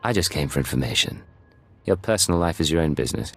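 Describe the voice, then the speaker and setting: A man's voice: extremely low pitch, lively intonation, fast talker, vocal fry, a bit bright, soft background music, heavily acted. A man playing a dry, sardonic secret agent in a video game, with a clipped, wry delivery.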